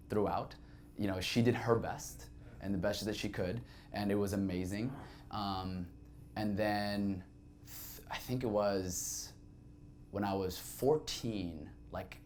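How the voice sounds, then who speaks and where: Deep-voiced man telling his life story. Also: a little hiss or hum. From Saudi Arabia. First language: Arabic. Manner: reflective, conversational, many fillers. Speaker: podcast guest. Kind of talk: candid personal storytelling.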